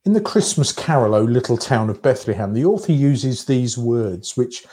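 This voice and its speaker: A man giving a reflective sermon in a measured, contemplative way, breaking his thoughts into short phrases. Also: mid-range voice, animated, warm tone. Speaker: preacher.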